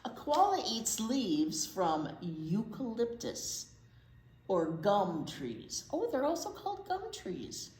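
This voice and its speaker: A woman's voice: mid-range voice, wildly swooping pitch, takes it slowly, darkish tone. A woman reading a picture book aloud to young children, with simple explanations and chatty asides. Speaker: children's storytime host, librarian. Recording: echoey room with faint background noise.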